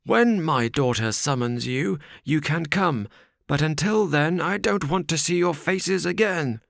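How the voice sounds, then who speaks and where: A man voicing a furious old farmer who shouts at his daughter's unwanted suitors. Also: on the high side, unhurried, vocal fry, no echo, clean studio sound, voice pushed up. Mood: fierce. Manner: shouting, sardonic. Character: furious old male farmer, fierce father.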